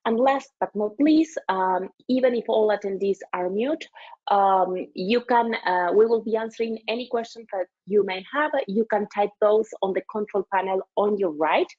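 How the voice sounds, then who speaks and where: Woman webinar host, mid pitch, hesitant delivery, dull lo-fi sound. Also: moderate pace, darkish tone. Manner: hesitant, many fillers. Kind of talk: hosting a webinar.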